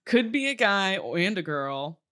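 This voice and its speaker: A woman's voice: medium pitch, sing-song, measured pace, bright, forceful tone. A woman on a comedy podcast, reading stage directions and joking casually with friends.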